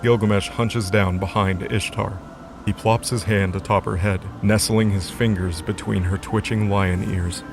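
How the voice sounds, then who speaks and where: A male narrator reads a scripted fantasy tale in a slightly low voice. Music plays clearly underneath the narration, in audio drama style. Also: steady tone, measured pace.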